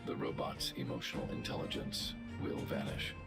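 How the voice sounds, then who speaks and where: An actor playing a huge, logical male robot guardian in a video game, with a flat, precise, mechanical delivery. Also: normal speed, lightly acted. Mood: calm, clinical. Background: game music.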